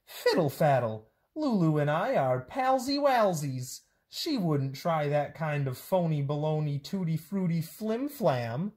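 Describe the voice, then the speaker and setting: A woman's voice: deep voice, big pitch swings, a little slow, hardly any pauses, a little mellow, pitched above the performer's own voice, full character voice. A woman voicing a bossy, conspiratorial female school nurse with emphatic, rhyming silly talk. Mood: dismissive.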